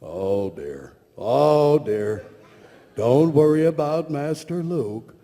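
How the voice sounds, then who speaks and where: A man's voice: on the high side, animated, slow pace, mellow. A man voicing a fussy, anxious, polite robot butler with prim, exaggerated fretting in a live comedic parody reading. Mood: fretful. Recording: echoey convention hall.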